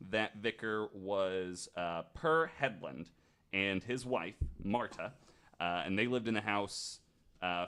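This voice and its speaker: Man, podcast host, lowish pitch, casual storytelling, halting, informal, conversational. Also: fairly bright tone, smooth. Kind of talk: casual storytelling among friends.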